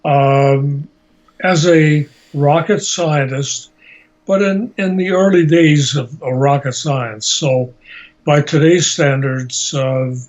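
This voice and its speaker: Halting, slow-spoken man of eighty. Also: a little high, steady tone, darkish tone. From Slovakia and Hungary, later United States. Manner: halting, deliberate, measured, searching for words. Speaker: interviewee, Holocaust survivor. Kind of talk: personal life story told in an interview. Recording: dull, lo-fi amateur recording.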